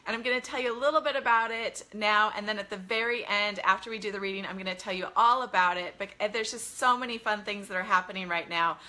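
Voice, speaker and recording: A woman's voice: medium pitch, fast, hardly any pauses, pushed and bright. A woman hosting a casual live bedtime-reading stream as a children's author, chatting to viewers in a gushing, enthusiastic way. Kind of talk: casual livestream chat with viewers.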